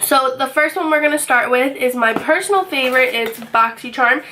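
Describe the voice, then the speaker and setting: A young woman vlogging from her bedroom, chatty and upbeat, her voice a little raspy from a cold. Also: high-pitched, normal speed, over music. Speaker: beauty vlogger. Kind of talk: casual unboxing chat. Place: bedroom, indoors.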